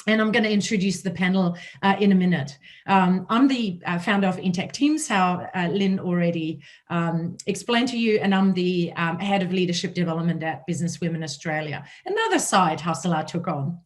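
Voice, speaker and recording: A woman with a German accent and a raspy voice, hosting a panel talk and telling her own story in a conversational way. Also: lowish voice, lively intonation, fairly quick. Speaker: host.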